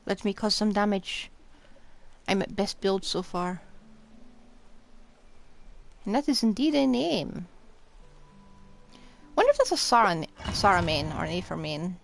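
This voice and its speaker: A woman's voice: medium pitch, big pitch swings, unhurried, vocal fry, pauses often. A woman streaming a video game, chatting casually with rambling, joking asides. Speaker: live streamer. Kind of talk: casual gaming livestream chat. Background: game music.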